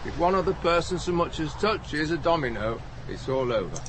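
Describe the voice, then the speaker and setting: A man's voice: a little high, very animated, normal speed. An actor playing a gloomy, anxious older man, fretful and plain-spoken, in a radio play. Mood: gloomy, doubtful. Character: older weary, gloomy, anxious male domino record attempter. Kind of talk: naturalistic radio play acting. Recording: outdoor scene with clear traffic ambience noise.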